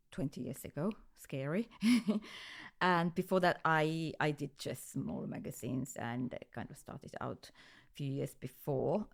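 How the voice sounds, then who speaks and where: A woman from Finland in her forties with a Finnish accent, answering interview questions hesitantly, with many pauses and restarts. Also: low-pitched. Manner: hesitant, many ums and restarts, light laughs. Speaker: guest, music photographer.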